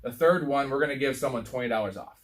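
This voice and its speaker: A man's voice: mid-range voice, quick pace. An American man co-hosting a sports podcast, talking and telling stories in a casual, rambling, profane way. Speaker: podcast co-host.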